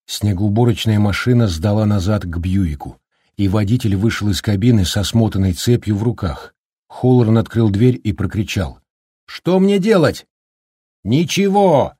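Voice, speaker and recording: A man reading an audiobook as narrator, with even, measured storytelling. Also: on the low side, slightly rough, no room sound, studio quality. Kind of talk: scripted narration.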